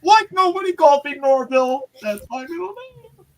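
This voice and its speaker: A man reading character lines in an amateur dramatized read-aloud, on a quiet, distant-sounding mic. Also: extremely high pitch, lively intonation, moderate pace, a little hiss or hum. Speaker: co-reader taking character lines.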